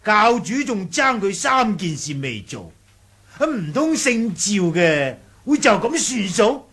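An actor playing a brash, sarcastic eccentric of a martial sect in a Cantonese radio drama, blunt and teasing, with a gravelly, raspy voice and a Hong Kong accent. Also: male voice, sits high, very animated, fairly bright tone, heavily acted. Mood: gleefully teasing. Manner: blunt, teasing, theatrical, drawn-out phrases.

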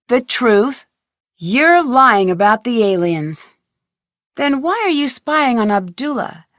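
An actress playing an inquisitive, persistent young woman detective in an adventure game, with clear, earnest, questioning delivery. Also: a little high, dry recording, clean studio sound. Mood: probing, suspicious. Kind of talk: scripted adventure game voice lines.

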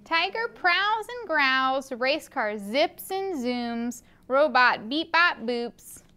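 A woman's voice: sits high, lively intonation, slow, sharp tone. A young woman hosting a children's library story time, reading a picture book aloud and putting questions to small listeners. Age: young adult. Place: carpeted library children's room, indoors. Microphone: camera a few feet away.

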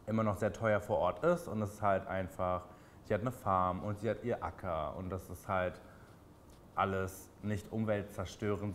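Relaxed Berlin-accented young man, lowish voice. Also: slight background noise. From Berlin, Germany. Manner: relaxed, chatty, many fillers, laughs easily. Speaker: interviewee. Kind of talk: casual interview answers.

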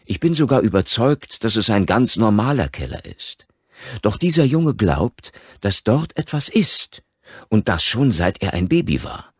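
A man voicing an opinionated psychiatrist in an audiobook, lecturing in a measured, earnest, emphatic way. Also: on the low side, big pitch swings, slightly rough, no echo, clean studio sound, voice pushed up, full character voice. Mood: earnest, convinced. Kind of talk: audiobook character acting.

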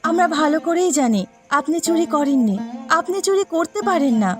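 A woman's voice: very high voice, expressive, fairly quick, darkish tone, faint music behind. A woman voicing a devoted, principled young sister-in-law in a cartoon, earnest and respectful. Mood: admiring. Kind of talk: dramatic cartoon dialogue.

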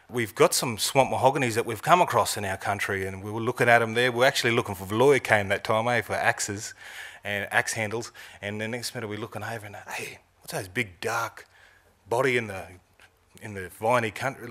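A middle-aged Australian man speaking at a conference, relaxed and rambling, with many "you know"s. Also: medium pitch. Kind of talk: conference talk. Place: conference stage, indoors.

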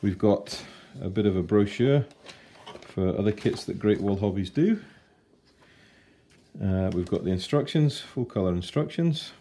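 A man in his forties giving a chatty, unhurried model kit review at his kitchen table. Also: lowish voice, expressive, mellow. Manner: chatty and unhurried, with fillers and self-corrections. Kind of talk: casual unboxing review.

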